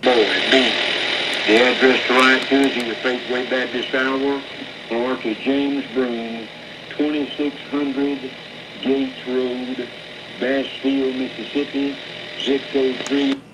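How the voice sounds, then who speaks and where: A man's voice: mid-range voice, level tone, takes it slowly. A man preaching in the style of a radio sermon, heard through a radio. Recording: very noisy radio reception.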